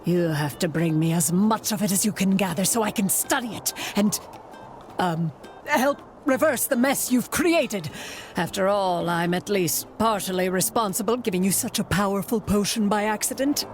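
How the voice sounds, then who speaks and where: A woman plays a sly sorceress from a cartoon game. Her medium-pitched voice is grand and theatrical, coaxing with syrupy false sweetness. Faint music runs underneath. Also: normal speed, lightly acted. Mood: sly, explaining. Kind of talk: exaggerated cartoon game acting.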